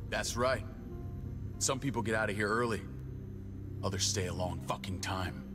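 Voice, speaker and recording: An actor playing a stern, middle-aged American survivor-camp authority in a video game, curt, commanding and menacing. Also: male voice, fairly low pitch, normal speed, music in the background, lightly acted. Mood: smug, menacing. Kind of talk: dramatic video game voice acting.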